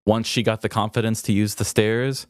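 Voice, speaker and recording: A man's voice: lowish voice, flat delivery, normal speed, smooth, no room sound. A man hosting a podcast, telling candid, self-mocking stories in a casual comedic way on a close microphone. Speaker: podcast host.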